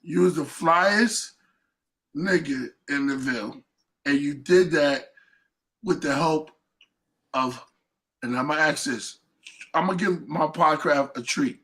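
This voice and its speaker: Slow-talking laid-back man in his fifties. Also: fairly high pitch, fairly bright tone. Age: 50s. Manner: laid-back, laughs often, repeats himself. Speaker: podcast host. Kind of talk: casual chat.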